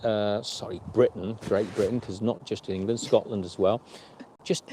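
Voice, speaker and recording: A man's voice: on the low side, fairly even intonation, moderate pace, mellow, a little hiss or hum, poor audio. A man from Australia chatting casually on a travel livestream, rambling and correcting himself. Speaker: vlog host.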